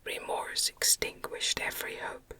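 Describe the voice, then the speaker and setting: A woman reads a classic novel entirely in a breathy whisper. Her delivery is very slow and gentle, made to soothe. The whisper is crisp and very bright, in the manner of an ASMR bedtime reading. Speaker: audiobook reader.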